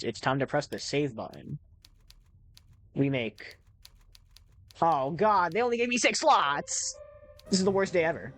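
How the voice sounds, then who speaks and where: Excitable swearing man gaming. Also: medium pitch, slight background noise. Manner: excitable, swears, stumbles over words. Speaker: gamer. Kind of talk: casual let's play commentary.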